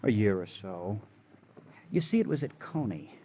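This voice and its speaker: An actor playing a gentle, devoted young drifter in old radio drama, talking simply, earnestly and hesitantly. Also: male voice, lowish voice, big pitch swings, a little slow, muffled recording. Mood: earnest, halting. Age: young adult. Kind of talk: 1940s-50s radio drama acting. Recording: noisy vintage radio recording.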